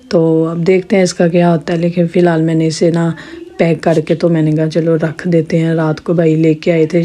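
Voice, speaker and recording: A woman with a sore, hoarse throat chatting in a rambling home vlog with her viewers. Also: fairly low pitch, mellow. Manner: chatty, rambling with many restarts. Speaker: vlogger. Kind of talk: casual home vlog narration.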